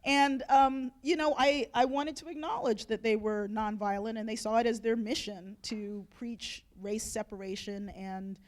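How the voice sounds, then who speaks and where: A woman's voice: fairly high pitch, little pitch movement, a little slow, hardly any pauses. A woman moderating a panel discussion, talking chattily with lots of "you know" and "like". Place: lecture hall event.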